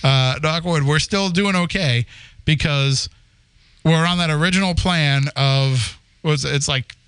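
A man's voice: on the high side, unhurried, a bit bright, smooth, no echo. A man in his forties, originally from the Boston area, hosting a podcast and telling its story in a chatty, rambling way. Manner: storytelling, chatty with frequent restarts and laughs. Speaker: podcast host. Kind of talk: casual chat.

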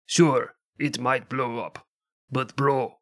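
A brash, careless Soviet official barking in a heavy mock Russian accent, as a man puts him on. He shrugs off danger with loud, flippant swagger, and the voice sits at an ordinary male pitch with plenty of push. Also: steady tone, takes it slowly.

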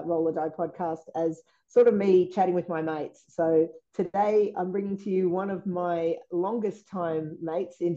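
A woman's voice: on the low side, mellow, dull audio. A woman hosting a podcast, introducing her guest in a casual, chatty way. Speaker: podcast host.

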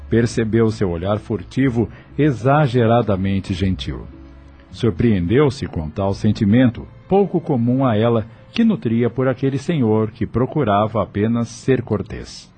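A man narrating a radio soap from a script, with a husky voice. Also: medium pitch, animated, unhurried, dark tone, soft background music.

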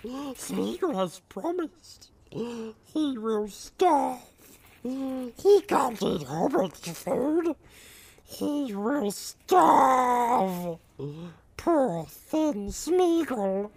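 A man voicing a thin, greedy male creature who talks of himself in the third person, in a gravelly, whining, drawn-out voice. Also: very high voice, very animated, a little mellow, pitched above the performer's own voice, full character voice. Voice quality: gravelly, croaking, sing-song. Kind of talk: audiobook character voice.

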